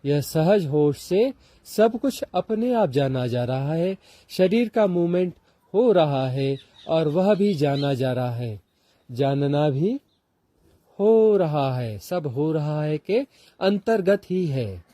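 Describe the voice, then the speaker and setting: A man with an Indian accent teaching a guided meditation, speaking deliberately in short repeated phrases with pauses. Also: a little high, mellow, no echo. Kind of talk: guided walking meditation instruction.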